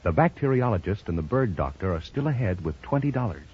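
A man's voice: lowish voice, very animated, fast talker, slightly rough, lo-fi sound. A man announcing a 1950s radio show in a scripted style, heard on an old broadcast recording.

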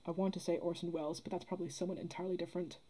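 A woman's voice: on the low side, flat delivery, very fast, warm tone, husky. A young woman in a casual discussion, earnest and rambling, repeating phrases as she builds her argument. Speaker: podcast guest, theatre producer.

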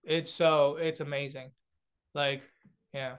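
A man's voice: fairly high pitch, slow, clean tone. A young man from South Korea, a comedian guest chatting casually and acting out little voices. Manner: animated, many restarts and fillers, acts out voices. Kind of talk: casual chat.

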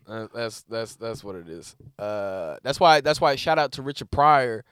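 A young man jokes through casual podcast banter, laughing a lot and jumping into loud, mocking voices. His pitch swings widely as he talks. The sound is dry and close to the mic. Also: normal speed, clear, resonant tone, no room sound. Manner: animated, laughs often, jumps into loud put-on voices. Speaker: podcast co-host, comedian.

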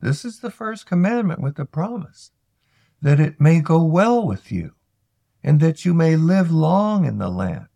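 A man reciting scripture from a text in a measured, emphatic way, phrase by phrase. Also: fairly high pitch, very animated, creaky, dark tone, no echo. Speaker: scripture reader. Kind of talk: scripted Bible reading, recited aloud.

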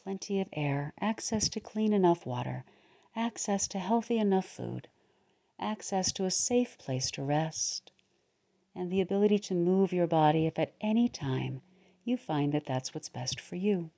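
Low, mellow woman guiding a meditation. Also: animated, creaky, lo-fi sound. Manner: soothing, unhurried, with pauses between short phrases. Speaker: guided meditation leader, podcast host. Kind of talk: guided relaxation meditation narration.

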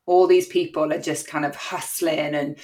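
A talkative woman with a strong Cardiff accent, her voice sitting a little low for a woman. She tells her story in a loose, chatty way, full of ums and quick asides, co-hosting a podcast. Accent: Welsh, Cardiff. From Wales. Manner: chatty, lively, laughs often, many fillers. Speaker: podcast co-host. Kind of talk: casual storytelling chat.

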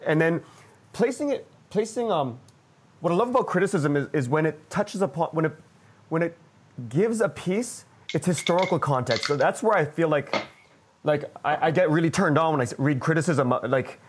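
A man with a fairly high voice chats as a panelist at a roundtable. His delivery is informal and halting, and he often starts a sentence over mid-thought. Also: expressive, slightly rough. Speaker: roundtable panelist, audio drama creator. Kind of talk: casual discussion.

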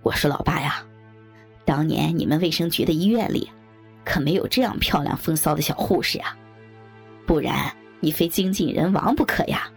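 Woman voicing a gravelly, leering old man. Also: sits low, moderate pace, soft background music, voice pushed down, heavily acted. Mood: crude teasing. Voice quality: gravelly, husky. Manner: coarse, jeering banter. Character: crude, leering old men at a rowdy party.

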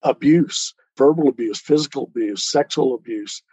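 A man speaking as an interviewed author in an earnest, measured, deliberate and reflective way. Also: medium pitch, darkish tone, breathy edge, dry recording, rough recording.

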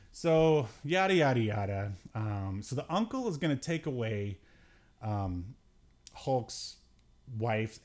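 A man's voice: mid-range voice, a little mellow. A man hosting a podcast, giving a casual, rambling, joking movie review with a wry, sarcastic manner. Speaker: podcast host.

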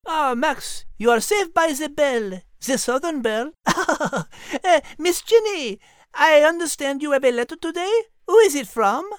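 A woman's voice: high voice, animated, a little slow, no room sound, clean studio sound. A woman playing a prim, intellectual female cat with a French accent in a children's audio story, choosing her words carefully. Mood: playful. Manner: prim, hesitant, carefully worded.

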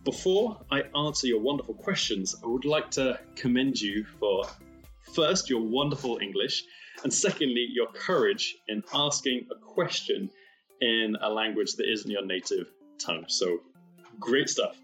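A man's voice: mid-range voice, lively intonation, measured pace, fairly bright tone, soft background music, low-quality recording. A man speaking as an expert to a child, with encouraging, neatly phrased, prepared explanations. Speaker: marine biologist answering a child's question.

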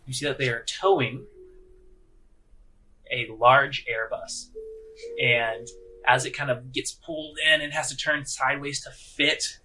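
A man narrating a tabletop game as game master, in a conversational, halting, improvised way. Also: mid-range voice, flat delivery, bright, forceful tone, rough recording. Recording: audible background noise.

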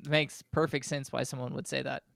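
A man's voice: medium pitch, little pitch movement, brisk, vocal fry, fairly bright tone, no room sound, clean studio sound. A man chatting casually as co-host of a podcast. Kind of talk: casual chat.

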